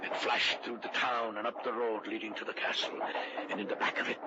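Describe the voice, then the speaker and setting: Low, solemn storyteller played by an actor. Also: male voice, little pitch movement, natural voice. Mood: foreboding. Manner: solemn, measured, dramatic. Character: grave European village man telling an eerie legend. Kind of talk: 1940s radio acting, suspenseful storytelling. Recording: muffled, noisy old radio drama recording.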